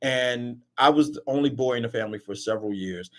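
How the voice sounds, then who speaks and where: An emphatic man hosts an online talk radio show in loose, casual conversation. His voice sits at a middle pitch, and he doubles back on words when he gets heated. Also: brisk. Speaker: host.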